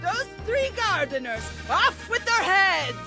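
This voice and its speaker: A woman's voice: very high voice, very animated, slow pace, over music, heavily acted. A woman voicing an imperious, short-tempered storybook queen with a haughty, commanding manner. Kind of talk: children's storybook cartoon acting.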